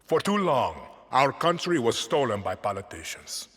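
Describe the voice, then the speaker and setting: A man playing a menacing, smug island president in a game, speaking with a Latin American accent in a deliberate, theatrical manner. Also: medium pitch, big pitch swings, fairly bright tone, husky, long reverb tail. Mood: solemn, grandiose. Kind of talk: political speech and dramatic game acting.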